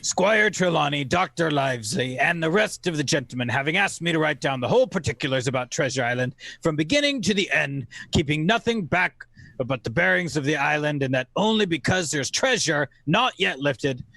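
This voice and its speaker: A man playing a grown adventurer who recalls his boyhood as formal, measured radio-play narration. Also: fairly high pitch, lively intonation, vocal fry, sharp tone, no room sound, exaggerated voice. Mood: solemn, reminiscent.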